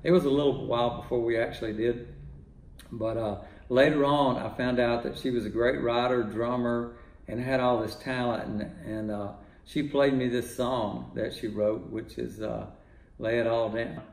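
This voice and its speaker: An older man from Texas, a music producer talking in a relaxed, rambling way full of fillers, in his home studio. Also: medium pitch, moderate pace, gravelly, reverberant, poor audio. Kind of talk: casual interview talk.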